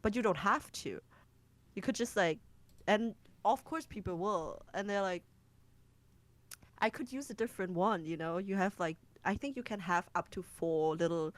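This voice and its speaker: A woman's voice: mid-range voice, moderate pace, dry recording. A woman from Germany, a guest on a video call chat, telling stories animatedly and laughing as she talks. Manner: animated storyteller, laughs while talking. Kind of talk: casual roundtable chat.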